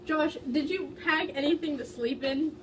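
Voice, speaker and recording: Woman voicing an emphatic, high-pitched exasperated young man. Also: moderate pace, natural voice. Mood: patient, baffled. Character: level-headed, exasperated male best friend on camping trip. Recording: distant mic, faint background noise.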